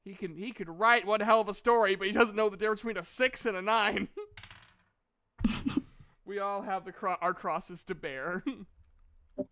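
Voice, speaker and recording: A man's voice: sits high, normal speed, long pauses, bright, forceful tone. A man acting as game master, casually narrating and bantering with players in a jokey, self-deprecating way.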